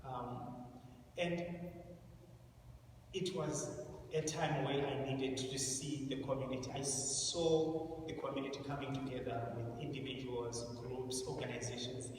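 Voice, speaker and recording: A man in his thirties tells his own story to an audience in a large hall, halting and earnest, stopping and restarting often. He sounds set back from the mic, and the long ringing reverb and steady noise of the room carry behind him. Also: medium pitch. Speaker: asylum seeker telling his story at an event. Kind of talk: personal testimony to an audience. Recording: echoey hall, distant mic, noisy.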